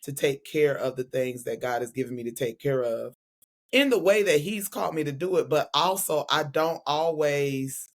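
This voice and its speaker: A woman's voice: low-pitched, moderate pace. A woman preacher and guest speaker in a casual faith-centered conversation, talking expressively with laughs and affectionate asides. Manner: expressive, laughing, calls friend "girl" and "honey".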